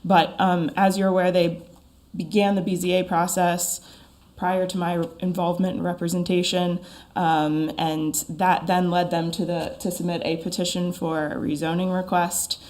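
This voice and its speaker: A woman attorney speaking formally at a town council meeting, her voice froggy and hoarse from a lingering cold, measured and methodical. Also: fairly low pitch, flat delivery, a little mellow. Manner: measured and methodical, with frequent ums. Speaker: attorney for an applicant. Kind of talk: formal public meeting presentation.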